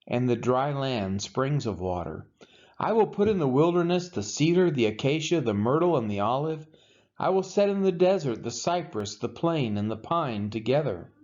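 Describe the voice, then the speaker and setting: A man reading scripture aloud in a dramatic, solemn and emphatic way, with measured pauses. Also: medium pitch, a little mellow, highs cut off. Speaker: Bible reader.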